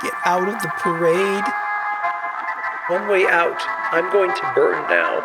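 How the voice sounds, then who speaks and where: A man's voice: sits high, fairly even intonation, slow, loud music behind the voice, low-quality recording. A man playing a desperate, foul-mouthed wasteland survivor in an audio drama, anguished and exasperated. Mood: haunted despair. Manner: anguished, exasperated, muttering to himself.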